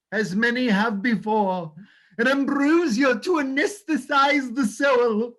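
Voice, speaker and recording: An actor playing a pompous, drunken, narcissistic owl in a stage script reading, with a florid, hammy delivery. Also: mid-range voice.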